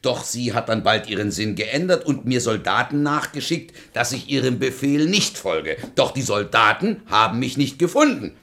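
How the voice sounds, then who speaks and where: A man's voice: mid-range voice, very animated, normal speed, gravelly, few pauses, bright, forceful tone, heavily acted. A man playing a patronizing, matter-of-fact royal huntsman in a fairy-tale radio play.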